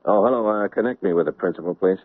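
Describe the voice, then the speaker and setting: A hard-bitten radio-drama lieutenant with a deep, husky voice, short-tempered and dry with his sarcasm. The sound is thin and tinny, like an old broadcast. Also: quick pace. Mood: brusque. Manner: brusque, sarcastic. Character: blunt impatient police lieutenant, man. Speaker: police lieutenant. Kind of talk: 1970s radio drama acting.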